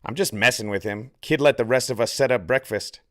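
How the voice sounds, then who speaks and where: A man voicing a sneering teenage tent mate in an audiobook, taunting in a sing-song way with drawn-out words. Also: mid-range voice, a bit bright, no room sound, clean studio sound, natural voice. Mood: mocking.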